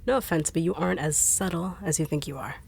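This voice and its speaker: A no-nonsense, teasing bodyguard, voiced by a woman with a low voice. She talks dryly and bluntly in an audio roleplay. Faint hiss sits behind her. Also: quick pace, creaky, darkish tone. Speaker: voice actor.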